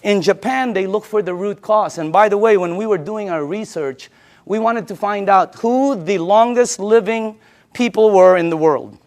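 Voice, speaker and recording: A woman's voice: medium pitch, normal speed, a little mellow. A woman from the Philippines giving an informal sales presentation, emphatic and repetitive, often putting rhetorical questions to her listeners.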